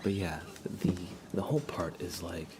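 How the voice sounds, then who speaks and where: A man chatting casually and softly on an ASMR livestream, sometimes dropping toward a whisper, in an unhurried, musing way. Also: low-pitched, expressive, heavy background noise. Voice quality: soft, partly whispered. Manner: unhurried, musing aloud, thanking viewers. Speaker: livestream host, musician. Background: acoustic or plucked guitar playing.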